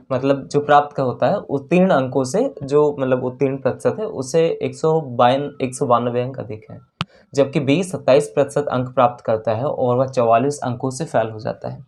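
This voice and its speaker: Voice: medium pitch, darkish tone, a little hiss or hum. A teacher explains exam maths step by step, repeating figures and steps as they go.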